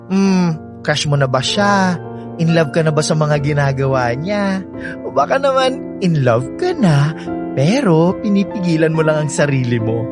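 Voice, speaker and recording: A woman's voice: sits low, animated, normal speed. A woman playing a nosy, playful friend in a radio drama, prying and teasing about romance. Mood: teasing, prodding. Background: clear background music.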